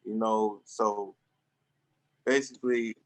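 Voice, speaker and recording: A man's voice: on the low side, fairly even intonation, takes it slowly, rough recording. A man in his twenties, a guest musician, talking loosely and trailing off in a casual interview. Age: 20s.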